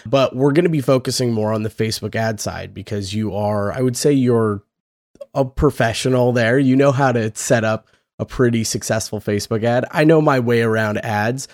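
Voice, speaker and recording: A young man hosting a casual podcast, his voice hoarse and raspy from yelling, talking loosely and energetically and swearing now and then. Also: medium pitch, moderate pace, dry recording, clean studio sound. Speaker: podcast host.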